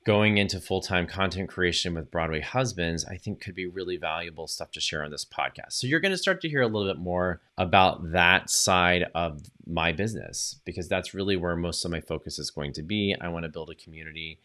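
A man's voice: low-pitched, brisk, hardly any pauses, bright, forceful tone. A man hosting his own podcast, talking in an upbeat, chatty solo monologue with fillers and self-corrections. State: recovering from lost voice. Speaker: podcast host and social media coach.

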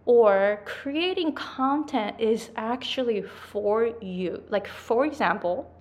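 Slow-talking woman with a Japanese accent. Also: a little high, animated, a little mellow. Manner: chatty, repeats "right?" and "like", self-corrections. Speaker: English pronunciation coach. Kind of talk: business advice vlog to camera. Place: speaking to a camera.

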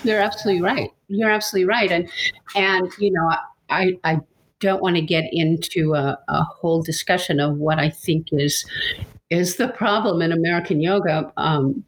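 A woman's voice: fairly low pitch, steady tone, normal speed, rough recording. A woman interviewer talking casually and reflectively, searching for her words and often repeating them as she goes. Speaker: interviewer and yoga writer.

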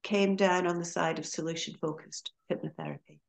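Middle-aged Scottish woman, low voice, relaxed chatty guest. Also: a little fast, vocal fry. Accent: Scottish, Aberdeen. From Scotland. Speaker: podcast guest, hypnotherapist. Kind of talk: casual interview chat.

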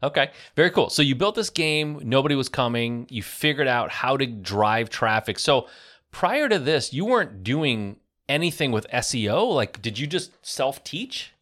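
A man's voice: medium pitch, very animated, moderate pace, fairly bright tone. Agreeable male podcast host. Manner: affirming, frequent short agreements. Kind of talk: casual podcast interview.

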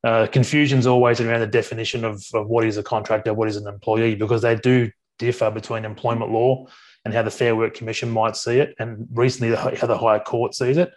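An Australian man in his forties from Sydney, a workplace advisor talking casually as a guest. He speaks haltingly, with many restarts and ums. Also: medium pitch, level tone. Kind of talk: casual interview talk.

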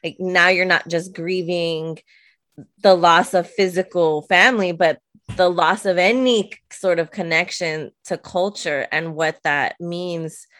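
A woman's voice: fairly low pitch, fairly even intonation, a little slow, a bit bright, no echo. A woman on a discussion panel, speaking emphatically and agreeing strongly. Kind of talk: conversational discussion.

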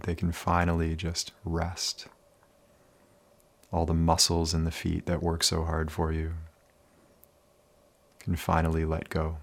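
A man leading a guided meditation in a calm, soothing, unhurried manner with many pauses. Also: low-pitched, gravelly.

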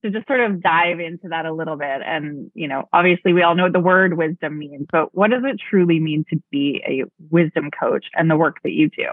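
A woman's voice: low voice, brisk, hardly any pauses, breathy edge, no echo. A woman hosting a podcast, enthusiastic and gushing, often laughing. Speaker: podcast host and book publisher. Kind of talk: casual podcast interview. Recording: tinny phone-like call audio.

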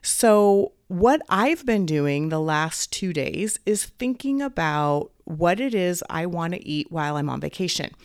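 A woman hosting a podcast as a weight coach, talking casually while fighting a head cold, a little raspy and clearing her throat. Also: fairly low pitch, expressive, unhurried, few pauses, no room sound, clean studio sound. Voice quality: slightly raspy. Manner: upbeat, encouraging, faith-based. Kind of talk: casual solo podcast talk.

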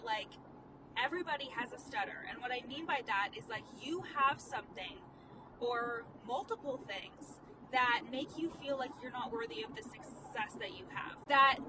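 A young woman hosting a casual monologue while driving, candid and quick, full of "like" and the odd curse. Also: fairly high pitch. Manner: candid, fast, peppered with "like" and profanity. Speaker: podcast host and coach. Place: inside a car. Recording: distant mic with road noise. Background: car and street noise.

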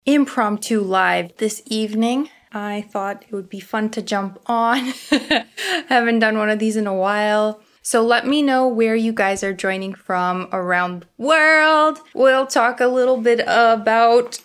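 A woman's voice: on the high side, measured pace. A woman in her thirties from Canada with a slight Ukrainian accent, chatting on a casual live stream as host, bubbly and giggly. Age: 30s. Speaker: skin health host.

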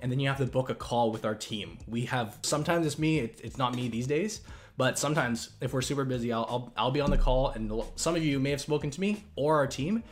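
A man hosting a casual question-and-answer chat on investing, talking in a relaxed, rambling way with many fillers and restarts. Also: mid-range voice, a little fast, a little hiss or hum. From Canada. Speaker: investing channel host.

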